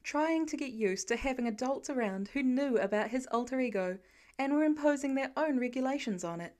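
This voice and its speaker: A woman's voice: high-pitched, darkish tone. A woman reading a story aloud as scripted narration. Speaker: podfic narrator.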